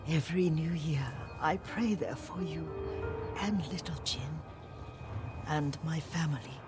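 A frail old serving woman lost in her memories, in a video game drama. Her voice is low and whispery, and she speaks slowly and haltingly, tender and wistful. Music plays behind her. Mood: fading, tender. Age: older. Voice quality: breathy, whispery. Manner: halting, wistful, softly trailing off.

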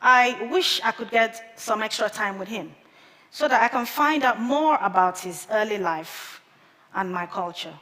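A woman in her thirties, a native Igbo speaker, giving a prepared talk on stage at a measured pace with careful pauses, on a headset mic. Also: mid-range voice, expressive, bright, forceful tone. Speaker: conference speaker. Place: large theater stage with audience. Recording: long hall reverb from a big theater.

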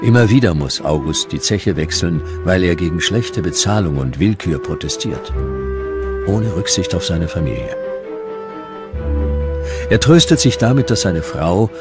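A male narrator tells history from a script. His voice is very deep, with lively rises and falls. The delivery is measured and dramatic, and music plays clearly behind him. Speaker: documentary narrator.

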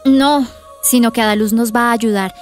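Woman acting as gentle, anxious teenage girl. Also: a little high, fairly even intonation, moderate pace, soft background music, lightly acted. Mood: relieved. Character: Margarita, anxious, gentle, thoughtful teenage schoolgirl. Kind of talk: radio drama acting.

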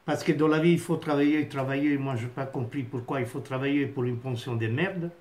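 A man in his fifties with an Italian accent, chatting haltingly to camera from a small home office, with many fillers and restarts. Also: medium pitch, a little mellow. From Italy. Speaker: vlogger. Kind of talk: casual rambling monologue to camera. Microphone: phone camera on a stand at desk.

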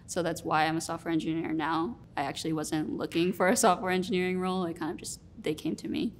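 A young woman in her twenties sits for an interview, her voice fairly low. She talks in a relaxed, reflective way and often pauses on fillers. A faint rumble runs under the recording. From Rhode Island, US. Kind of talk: sit-down interview. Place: studio room with plants.

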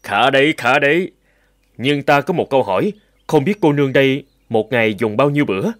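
A man's voice: mid-range voice, expressive, brisk, no room sound, studio quality, close to an everyday voice. Man acting a stingy old master, swooping intonation. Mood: appraising. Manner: calculating, exclaiming, grumbling. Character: stingy, fussy old rich male master seeking a thrifty wife. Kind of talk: animated folk-tale dubbing.

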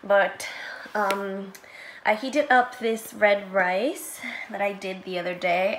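A woman's voice: medium pitch, a little hiss or hum. Chatty young woman vlogging from her phone. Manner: chatty, lots of "like", giggly asides. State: croaky voice. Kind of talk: casual vlog chat. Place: small bedroom indoors. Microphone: phone held at arm's length.

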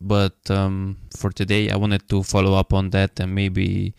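Podcast host, man, low, even, smooth voice, dry close mic. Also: no echo. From Hungary. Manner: measured, with frequent "um" and "uh" fillers. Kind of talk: podcast interview.

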